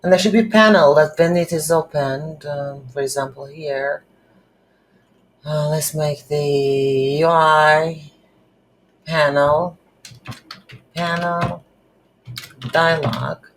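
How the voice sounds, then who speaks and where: A woman hosting a casual game development tutorial, speaking haltingly as she thinks aloud while working. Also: sits low, fairly even intonation, a little hiss or hum. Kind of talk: casual screen-recorded software tutorial.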